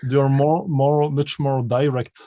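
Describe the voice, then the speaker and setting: A man from Belgium, a composer and pianist, answering interview questions in halting with many restarts and repeated words. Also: mid-range voice, fairly even intonation, dark tone, dry recording.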